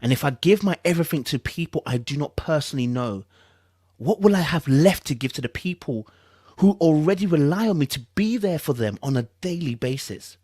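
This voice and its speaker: A podcast host playing a wounded man in a grave, measured, mock dramatic reading of a breakup statement. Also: mid-range voice, animated, darkish tone, slightly rough, dry recording, studio quality. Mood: melodramatic, wounded.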